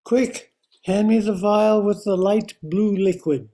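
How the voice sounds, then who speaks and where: A man's voice: sits high, takes it slowly, dark tone. An older man acting an anxious, apologetic scientist in a radio drama, speaking in a hesitant, formal, mild-mannered way. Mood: nervous. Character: anxious, apologetic male scientist, husband and uncle. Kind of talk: amateur old-time radio drama acting.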